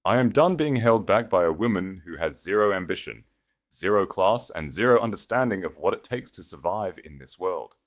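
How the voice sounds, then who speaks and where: Bir adamın canlandırdığı kalın sesli, kibirli koca. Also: male voice, sits low, expressive, moderate pace. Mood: furious, dismissive. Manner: contemptuous, commanding. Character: arrogant, vicious, status-obsessed husband.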